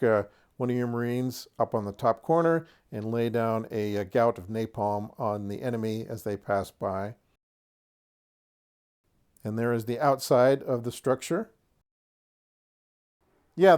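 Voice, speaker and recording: A man with a mid-pitched voice hosts a casual product showcase. He talks in a chatty, folksy way, like someone sharing a hobby, and slips in lightly humorous asides as he describes things. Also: normal speed, a little mellow, smooth. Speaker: host of a tabletop wargaming terrain review.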